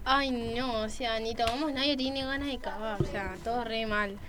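A woman's voice: on the high side, fairly even intonation, moderate pace, natural voice. Snobbish spoiled Argentine girl, played by a woman, very noisy. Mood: catty. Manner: affected, dismissive, filler-laden posh slang. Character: snobbish spoiled rich girl, catty and bored. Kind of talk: improvised radio theatre acting.

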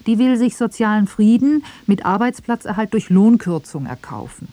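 A woman's voice: medium pitch, expressive, normal speed, mellow, no echo. A woman narrating a scripted radio feature in an argumentative style. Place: dry radio studio.

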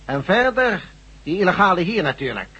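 A man acting in a radio drama as a smug, menacing god posing as a doctor, deliberate and condescending. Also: high voice, very animated, bright, forceful tone, slightly rough, lo-fi sound, exaggerated voice. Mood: smug, gloating.

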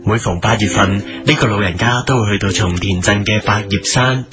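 A man playing a solemn documentary narrator, reading a scripted mock travel feature in a measured, earnest way. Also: on the low side, lightly acted. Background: prominent music.

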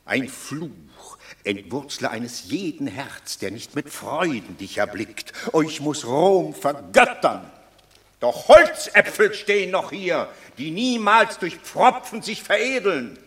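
An actor playing a witty, blunt old Roman patrician in a classical radio drama, mocking and theatrically effusive. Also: male voice, a little high, very animated, slow, pushed and bright, exaggerated voice. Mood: boisterous joy.

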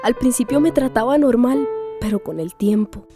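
A woman's voice: a little high, normal speed, over music, natural voice. An actress playing a timid, wounded teenage country girl in a radio drama, plaintive and meek. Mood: sad, recounting.

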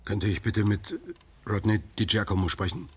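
A man's voice: on the low side, moderate pace, darkish tone, husky. An actor playing a terse, guarded man in a radio crime drama. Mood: polite. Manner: terse, controlled. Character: terse, guarded male actor turned investigator.

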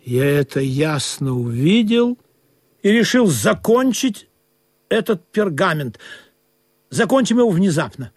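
Slow, high-voiced, wistful sailor narrator. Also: male voice, sing-song, dry recording, full character voice. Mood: musing. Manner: deliberate, musing, storytelling. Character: wistful, questioning sailor narrating his absurd sea voyage. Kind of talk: literary radio play narration and acting. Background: music under the voice.

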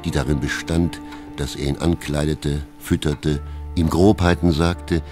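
A man's voice: a bass voice, level tone, measured pace, a little mellow. A man narrating a literary radio play from a script as a composed storyteller. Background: music.

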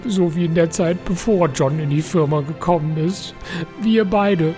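A man's voice: on the high side, unhurried, dark tone, soft background music, natural voice. Man acting a fussy, lovesick office schemer. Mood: lovesick confessing. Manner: officious, pleading, melodramatic. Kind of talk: comic audio drama acting.

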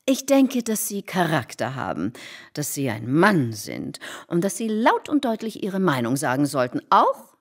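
A woman's voice: sits low, big pitch swings, a little slow, husky, full character voice. An actress playing a domineering, scheming society hostess in a radio play, insistent and theatrical in her mock concern. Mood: urging. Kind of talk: German radio play acting.

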